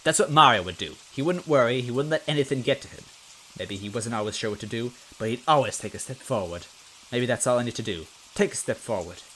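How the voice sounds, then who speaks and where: A man's voice: mid-range voice, lively intonation, quick pace, a bit bright, slight background noise, close to an everyday voice, rough recording. A man voicing a timid, anxious detective from a story, hesitant and self-doubting yet earnest. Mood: self-encouraging. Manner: hesitant, stammering.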